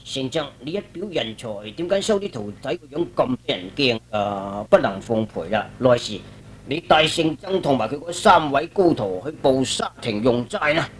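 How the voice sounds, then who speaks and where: Male actor as timid courteous king, formal, fussy, lively pitch, old rumbly radio recording. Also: moderate pace, a little hiss or hum, lightly acted. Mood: flustered. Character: courteous but easily frightened middle-aged king. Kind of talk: Cantonese radio drama acting.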